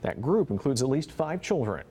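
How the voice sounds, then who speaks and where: A man's voice: mid-range voice, big pitch swings, normal speed, mellow, breathy edge. An American man anchoring a newscast, reading stories and bantering casually with a co-anchor. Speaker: news anchor.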